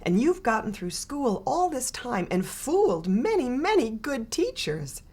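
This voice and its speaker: A woman voicing a kind male schoolteacher in a storybook, speaking softly and tenderly. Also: a little high, sing-song, slightly rough, pitched above the performer's own voice, exaggerated voice. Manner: soft, tender, slow-spoken.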